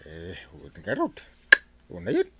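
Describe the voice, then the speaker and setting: An actor with an Ilocano accent playing a stressed, broke village captain in a radio comedy drama, complaining with exaggerated exclamations. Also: male voice, deep voice, wildly swooping pitch, measured pace, darkish tone, husky, full character voice. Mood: troubled, evasive.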